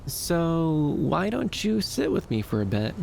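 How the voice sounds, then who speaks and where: Gentle, shy, gravelly-edged young dream wanderer, played by a man. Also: fairly high pitch, big pitch swings, unhurried, a little hiss or hum, full character voice. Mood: calm, welcoming. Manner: soft-spoken, hesitant, soothing, with lilting upturns. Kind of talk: ASMR roleplay.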